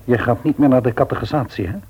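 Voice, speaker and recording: A man playing an earnest, patient, devout middle-aged father in a radio play, with measured delivery and a rough-edged husky voice. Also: mid-range voice, a little mellow, muffled recording. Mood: earnest, conciliatory. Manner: measured, grave, deliberate. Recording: old radio play recording.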